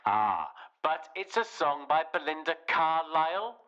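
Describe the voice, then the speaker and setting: Man acting indignant teacher phoning in, sharp tone, lively pitch, muffled telephone sound, radio comedy. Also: a little slow, heavily acted. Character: indignant, easily flustered male geography teacher phoning in. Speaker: phone-in caller. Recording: dull phone-line sound.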